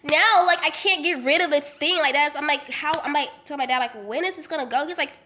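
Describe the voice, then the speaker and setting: A young woman from Las Vegas, sick and congested with a nasal voice, telling a story in a chatty, animated way full of slang and fillers. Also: high voice, a little fast, slight background noise. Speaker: vlogger. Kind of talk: casual storytime vlog.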